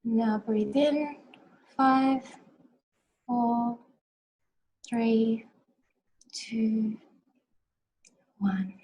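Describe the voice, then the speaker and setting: Slow, calm young woman hosting. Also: fairly high pitch, breathy edge, low-quality recording. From Australia. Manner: calm, soft counting, warm welcoming. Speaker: conference host. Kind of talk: guided breathing, then welcoming talk. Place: small room indoors. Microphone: video call webcam.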